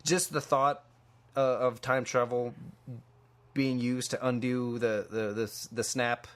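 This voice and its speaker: A man's voice: medium pitch, level tone, a little slow, fairly bright tone. A man co-hosting a podcast, giving his opinions on movies in casual conversation. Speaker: podcast co-host.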